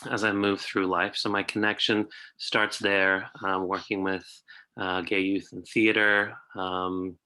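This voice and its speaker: A young man from South Africa speaking on a panel over a video call, thoughtful and filling pauses with ums. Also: low-pitched, pushed and bright, poor audio. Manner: thoughtful, frequent ums and uhs. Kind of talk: conversational panel sharing.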